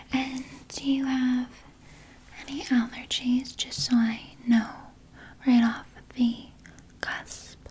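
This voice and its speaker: Slow whispering vampire nurse, played by a woman. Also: sits high, darkish tone. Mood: gently questioning. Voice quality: breathy whisper. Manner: slow, coy, soothing, with murmured asides. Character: sinister, doting female vampire nurse at a haunted manor. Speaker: ASMR performer. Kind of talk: whispered ASMR roleplay, personal attention.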